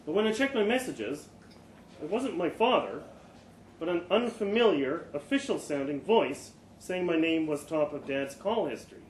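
A man with a slightly high voice reads fiction aloud at a live event. His delivery is expressive, with dramatic pauses and small stumbles. The room echoes noticeably and there is faint noise behind him. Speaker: story reader. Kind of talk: live dramatic short-story reading, first person.

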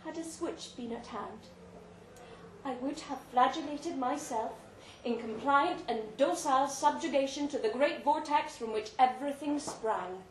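A woman reading a literary story aloud to a live event, theatrical and deliberate, with dramatic pauses. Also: high-pitched. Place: indoor live reading in a pub venue. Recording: roomy live venue, faint background noise.

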